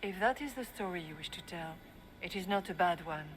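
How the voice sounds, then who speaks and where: An actress playing a serene, noble spiritual leader in a fantasy video game, calm, gracious and measured. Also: female voice, lowish voice, natural voice. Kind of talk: dramatic video game acting. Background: loud music.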